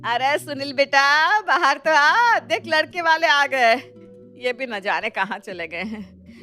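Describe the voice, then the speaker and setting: Bright, fussing old-fashioned mother-in-law played by a woman. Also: high voice, expressive, slow, faint music behind, full character voice. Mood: flustered, calling out. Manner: scolding, fretful, bossy. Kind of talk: animated Hindi moral story acting.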